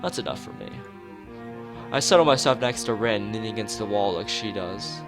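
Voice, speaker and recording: A man's voice: on the low side, expressive, normal speed. A man streaming a live read-aloud of a visual novel, stumbling over words and rereading them, with casual asides to chat. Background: guitar music.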